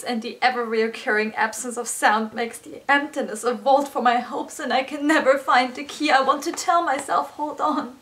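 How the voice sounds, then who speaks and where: A woman with a fairly high voice recites slam poetry. Her delivery is earnest and expressive, shaped as a dramatic spoken-word performance. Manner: expressive, earnest, swinging between hushed and intense delivery. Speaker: slam poet performing own poem.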